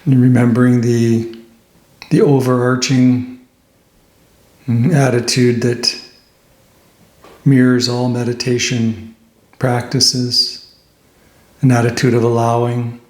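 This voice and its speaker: A man guides a meditation in a very even, level voice. He speaks slowly and gently, leaving pauses between phrases as a teacher would. The sound is roomy, with an audible echo around his mid-pitched voice. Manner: gentle, unhurried instruction with pauses.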